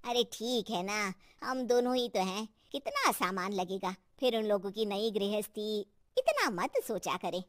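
A woman's voice: medium pitch, measured pace, dry recording, clean studio sound, close to an everyday voice. A woman playing a kindly, indulgent elderly mother-in-law in a cartoon, soothing and accommodating.

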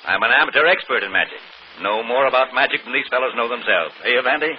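A man plays a jovial, blustery fellow in a 1940s radio mystery. He talks fast at a middle pitch, and the old broadcast sounds muffled. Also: animated, slight background noise, lightly acted. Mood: jovial, brisk. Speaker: actor.

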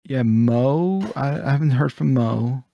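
A man's voice: medium pitch, a little slow, creaky, dry recording, muffled recording. Casual joking man, dry close recording. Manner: sweary, joking. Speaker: podcast host. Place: indoor home studio. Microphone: close microphone with headphones.

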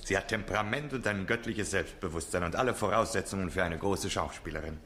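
An actor playing a witty, theatrical gentleman in a German radio play, speaking with ironic flourish. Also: sits low, fast. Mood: wryly amused. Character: Gilroy, dapper, witty, theatrical male colleague.

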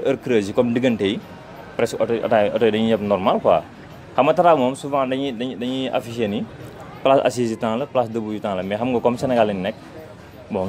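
A young man working with the bus service, explaining in a relaxed way in a street interview inside a bus, speaking into a handheld mic. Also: mid-range voice. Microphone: handheld reporter mic.

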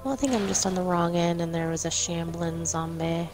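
A woman's voice: fairly low pitch, fairly quick. A woman chatting casually as co-host of a co-op gameplay video. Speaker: co-host gamer. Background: music.

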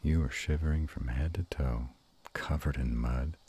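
Man voicing a very deep, husky were-dragon lover. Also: moderate pace, dark tone, full character voice. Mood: tender. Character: affectionate, bashful, gruff male were-dragon lover. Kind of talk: intimate romantic roleplay audio.

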